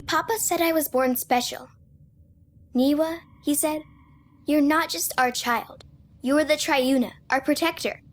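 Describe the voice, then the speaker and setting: High, earnest little girl. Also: unhurried. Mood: wistful. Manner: plain, childlike, earnest. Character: earnest, frightened young girl, burdened child protector. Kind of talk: video game drama acting.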